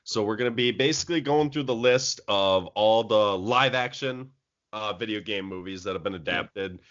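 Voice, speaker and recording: A man's voice: fairly low pitch, normal speed, a bit bright, dull audio. A man co-hosting a casual podcast chat about movies, rambling with many restarts. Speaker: podcast co-host.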